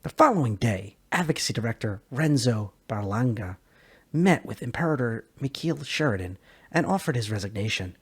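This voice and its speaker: Male narrator for sci-fi lore read, husky tone, emphatic and theatrical, widely varied intonation. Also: medium pitch, expressive, moderate pace. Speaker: narrator, lore video creator. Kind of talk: dramatic reading of science fiction lore.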